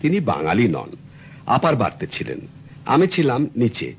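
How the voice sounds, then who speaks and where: A man's voice: mid-range voice, wildly swooping pitch, normal speed, muffled recording. An actor playing a polite, earnest middle-aged client in a radio drama, explaining his story courteously and at length. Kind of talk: 1970s radio drama acting. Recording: old radio recording with faint hiss.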